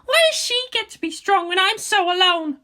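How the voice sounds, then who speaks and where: A woman's voice: very high voice, normal speed, pushed and bright, pitched above the performer's own voice. A woman voicing a proud, brash but vulnerable teenage girl in a fan fiction reading. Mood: vulnerable, pleading.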